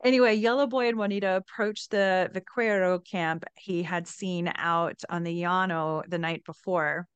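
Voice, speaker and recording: Clear, low-pitched woman reading a story. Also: steady tone, moderate pace, few pauses, no room sound, lo-fi sound. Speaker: podcast host. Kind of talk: story retelling and reading aloud from a book.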